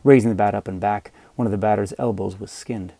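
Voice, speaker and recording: A man narrating scripted fiction as an audiobook reader, with measured, dramatic storytelling. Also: lowish voice, fairly even intonation, creaky, warm tone, no room sound.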